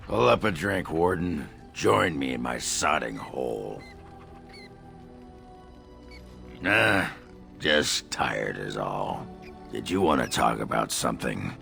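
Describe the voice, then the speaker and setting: Very deep, gravelly boozy dwarf. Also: male voice, sing-song, measured pace, sharp tone, full character voice. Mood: weary, gruff. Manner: gruff, crude, earthy. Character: gruff, boozy male dwarf berserker warrior, crude and jovial. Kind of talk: video game character dialogue. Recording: music behind the voice.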